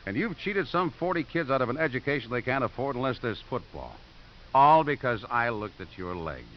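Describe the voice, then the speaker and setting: A man's voice: medium pitch, big pitch swings, brisk, lightly acted. An actor playing a defiant, sarcastic American father in a 1950s radio drama, theatrical and combative. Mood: bitter, mocking. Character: defiant, sardonic father fighting for his daughter's custody. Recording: dull, hissy old radio recording.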